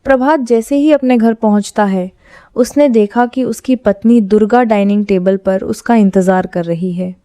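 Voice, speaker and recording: Smooth, mellow woman narrating. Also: medium pitch, normal speed, dry recording, clean studio sound. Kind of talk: scripted horror story narration.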